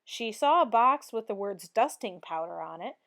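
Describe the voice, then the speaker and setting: A woman reading a children's storybook aloud in an expressive, clearly phrased narration. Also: medium pitch, moderate pace. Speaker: storybook reader.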